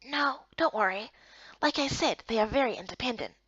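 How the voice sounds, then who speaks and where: A woman's voice: fairly high pitch, lively intonation, normal speed, fairly bright tone, slightly rough, no room sound, lo-fi sound. A woman voicing a patient, helpful male guild guide in a visual novel game, speaking politely and instructively.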